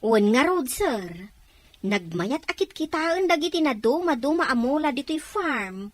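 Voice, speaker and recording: A woman's voice: high voice, sing-song, measured pace, no echo. A woman playing a polite, hopeful farm employee in an Ilocano audio drama. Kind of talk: Filipino radio-drama dialogue in Ilocano.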